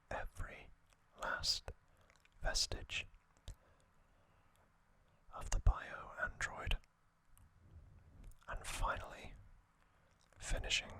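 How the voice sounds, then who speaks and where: A man whispering an ASMR trivia recap in a breathy, unvoiced voice, deliberately, in short phrases with pauses. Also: sharp tone. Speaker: ASMR host.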